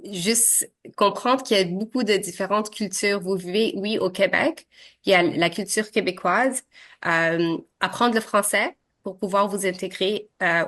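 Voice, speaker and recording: A woman's voice: medium pitch, a bit bright. A woman in her thirties from Syria, whose first language is Arabic, answering interview questions in a hesitant way with many fillers and restarts. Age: 30s.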